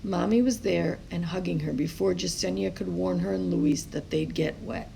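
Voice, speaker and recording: A woman with a creaky voice reading a children's story aloud expressively, as a storyteller. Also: lowish voice, moderate pace, dark tone, a little hiss or hum, low-quality recording. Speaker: storybook reader.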